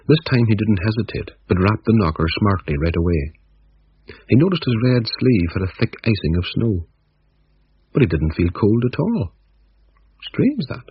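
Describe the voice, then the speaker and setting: Deep raspy guy narrating a ghost story, bone dry dull recording. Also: dry recording.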